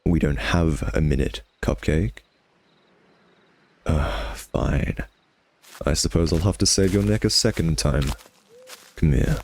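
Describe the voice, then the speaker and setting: A man's voice: extremely low pitch, slow pace, long pauses, a little mellow, full character voice. A man playing a cocky, sardonic vampire nemesis who teases and taunts the listener in an intimate ASMR roleplay. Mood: mocking, playful.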